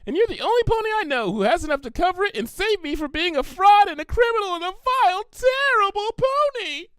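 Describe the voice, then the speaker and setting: A man's voice: very high-pitched, very animated, fairly quick, fairly bright tone, no room sound, clean studio sound, voice pushed up, heavily acted. A man voicing a hyperactive, cheerful young female cartoon pony with a bubbly, gushing, rambling manner. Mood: frantic pleading.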